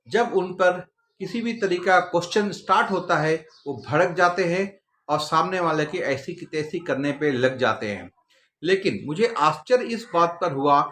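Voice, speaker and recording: Slow, higher-voiced Indian man, echoey room. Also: fairly bright tone. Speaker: news commentator. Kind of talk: spoken political commentary to camera. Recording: echoey, roomy sound.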